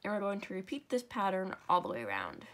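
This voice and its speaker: A woman's voice: medium pitch, wildly swooping pitch, quick pace, fairly bright tone. A young woman with a stuffy, congested nose, chatting her way through a step-by-step crafting tutorial at home, with rambling asides and self-corrections.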